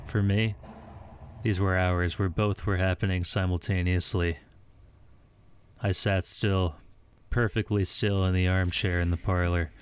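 A young man playing a haunted, sleepless man in confinement, narrating an audio drama in a deliberate, literary and grave manner over a noisy home recording. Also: deep voice, little pitch movement, clear, resonant tone, no echo. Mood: weary, haunted. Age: young adult. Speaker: student voice actor and narrator. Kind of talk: scripted first-person audio drama narration.

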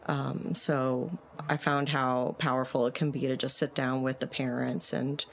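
Deep-voiced woman from Puerto Rico, measured. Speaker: psychologist, interview guest. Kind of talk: conversational interview answers. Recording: clear steady background noise.

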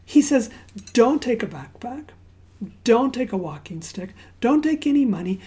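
Soft-spoken woman preaching, slow and mellow. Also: a little high, big pitch swings. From Zambia. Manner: soft, unhurried, often dropping to a hushed near-whisper; conversational storytelling with fillers and asides. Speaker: pastor. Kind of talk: sermon with opening prayer.

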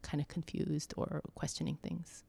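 Soft-spoken reflective woman, low pitch. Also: flat delivery, creaky, breathy edge. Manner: slow, thoughtful, pausing often with soft fillers. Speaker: church member interviewee. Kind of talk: reflective conversation.

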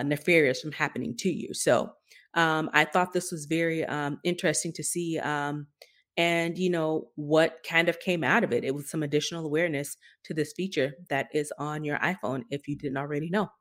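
A woman's voice: low-pitched, a bit bright. A woman co-hosting a podcast, talking through tech news in an earnest, explanatory and conversational way. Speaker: podcast co-host.

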